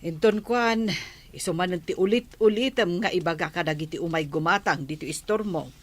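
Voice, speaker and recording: Lowish-voiced actress as reproving village busybody. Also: female voice, fairly quick, natural voice. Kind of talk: Ilocano radio comedy drama acting.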